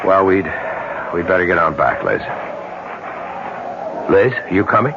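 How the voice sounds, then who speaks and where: A man's voice: low-pitched, sing-song, measured pace, vocal fry, exaggerated voice. A slightly hissy, dull-sounding 1970s radio recording of a man. an earnest husband who is troubled and will not give in. His phrasing is emphatic and rises and falls widely. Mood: stubborn, earnest. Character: earnest, troubled, stubborn married man, financially desperate. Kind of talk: 1970s radio drama acting.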